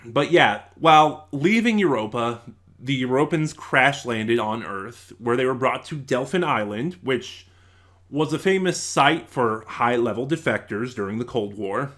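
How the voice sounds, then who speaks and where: A man's voice: mid-range voice, expressive, measured pace, fairly bright tone. A man giving a casual, unscripted review, thinking aloud and correcting himself as he rambles along. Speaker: YouTube audio drama reviewer.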